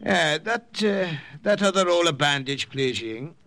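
A fatherly priest from an old radio play. His voice sits a little high and rises and falls freely, with a bright edge. He hesitates genially, like an uncle hunting for the right word among his flock. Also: animated, slight background noise. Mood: matter-of-fact. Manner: halting, avuncular, with little hesitations. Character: kindly, paternal Irish missionary priest in the jungle. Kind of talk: 1940s-50s radio drama acting.

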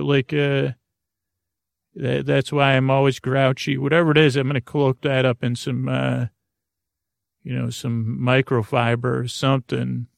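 Drowsy rambling man with a gravelly voice. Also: medium pitch, dry recording, clean studio sound. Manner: meandering, hesitant, deliberately soothing. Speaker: sleep podcast host. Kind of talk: rambling bedtime monologue.

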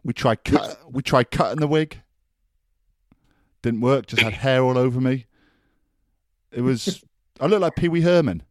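A man's voice: medium pitch, unhurried, pauses often, a little mellow, no room sound, clean studio sound. A man with a Welsh accent, co-hosting a podcast in casual, joking banter. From Wales. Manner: joking banter, laughs often. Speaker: podcast co-host. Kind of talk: casual chat.

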